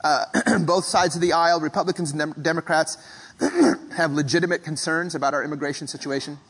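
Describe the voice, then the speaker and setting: A male pastor preaches a thoughtful sermon, his voice sitting a little high for a man. He speaks measured and reflective, pausing to clear his throat now and then. A long hall reverb trails each phrase. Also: fairly even intonation, fairly bright tone. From Philippines.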